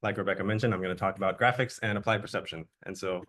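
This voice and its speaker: Low-voiced young man giving a talk. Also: racing. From Jamaica. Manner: relaxed, conversational explaining. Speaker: guest speaker, researcher. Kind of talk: academic seminar talk. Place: lecture room.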